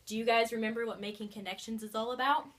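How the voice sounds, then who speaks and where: A woman's voice: on the high side, steady tone, fast talker. A woman teacher reading a storybook aloud expressively and putting questions to young children. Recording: faint background noise.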